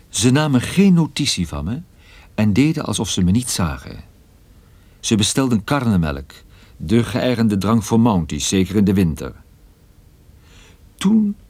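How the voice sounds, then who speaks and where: An actor playing a world-weary private detective, narrating his case in a radio play with dry, unhurried wit. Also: fairly low pitch, darkish tone, dry recording, heavily acted. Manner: dry, wry, unhurried storytelling. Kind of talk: radio drama first-person narration.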